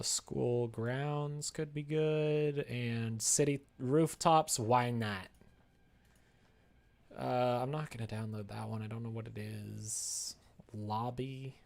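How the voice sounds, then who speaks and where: Man, heavy vocal fry, slow unhurried pace, casual livestream chat, thinking aloud, playful muttering. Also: medium pitch, a little mellow. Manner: muttering to self, playful asides and sound effects. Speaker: livestreamer making a visual novel.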